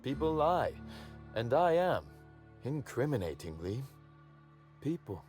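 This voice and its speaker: An actor playing a suave, amused and menacing crime boss in a video game, with a wry, theatrical, deliberate manner. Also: male voice, mid-range voice, big pitch swings, a little mellow, faint music behind. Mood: gleefully menacing.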